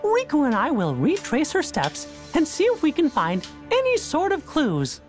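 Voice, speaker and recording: A courteous little cartoon mouse hero in a game. His squeaky falsetto is high and hugely animated, earnest, with faint music underneath. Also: moderate pace, heavily acted. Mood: earnest, polite.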